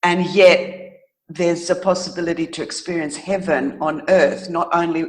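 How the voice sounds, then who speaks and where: A middle-aged woman telling her own story as an interview guest, reflective and thoughtful, often pausing to search for words. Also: fairly low pitch, fairly even intonation, poor audio.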